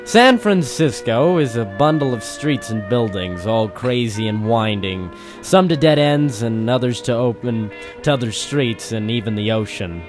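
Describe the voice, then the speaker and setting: A man's voice: medium pitch, lively intonation, unhurried, few pauses, lightly acted. Man playing a hardboiled, weary private eye over music. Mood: brooding narration. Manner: wisecracking, theatrical noir delivery. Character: world-weary hardboiled male private detective narrator, ex-Marine. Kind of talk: old-time radio detective drama acting. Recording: music behind the voice.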